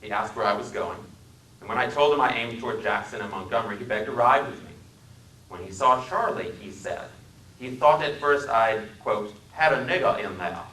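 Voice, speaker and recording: A man from Wisconsin giving a dramatic reading in a library, measured and dryly wry in his storytelling. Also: medium pitch, pushed and bright, echoey room, far from the mic, poor audio. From Wisconsin, USA. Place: public library room.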